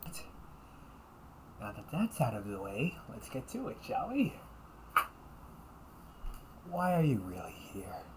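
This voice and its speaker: Guy doing a gruff sarcastic big brother type, slangy, voice jumps around, some background noise. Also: mid-range voice, wildly swooping pitch, slow, pauses often. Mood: suspicious, sarcastic. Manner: casual, slangy, needling. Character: young delinquent older brother, gruff, sarcastic, suspicious. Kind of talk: amateur audio roleplay acting.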